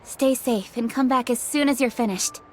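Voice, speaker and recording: An actress playing a composed, decisive young female official in a video game, speaking in a brisk, formal, articulate manner. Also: a little high, faint music behind, natural voice. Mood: businesslike.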